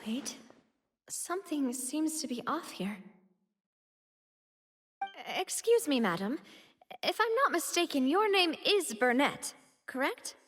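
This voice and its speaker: An actress playing a confident young noblewoman leader, earnest and expressive. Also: sits high, takes it slowly, pauses often, in a large hall, heavily acted. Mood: puzzled.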